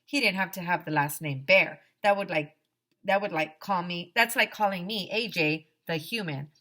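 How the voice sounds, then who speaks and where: A woman teacher reading a children's story aloud in an expressive, emphatic way. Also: on the low side, moderate pace.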